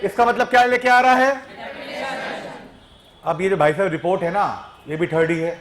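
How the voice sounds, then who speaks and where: Man, teacher, high pitch, playful, teasing, classroom echo. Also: lively intonation, moderate pace, noisy background. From Punjab. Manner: playful, teasing, quizzes students. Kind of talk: classroom grammar lecture. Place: classroom with students. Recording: echoey classroom.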